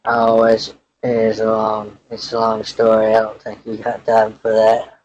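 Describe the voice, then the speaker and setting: A man playing a flat-toned, easygoing Texan rancher. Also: fairly low pitch, lo-fi sound, slight background noise, lightly acted. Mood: folksy, frank. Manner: halting, folksy, rambling. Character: laid-back young Texan rancher man, talkative and easygoing. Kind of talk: improvised prank phone call in character.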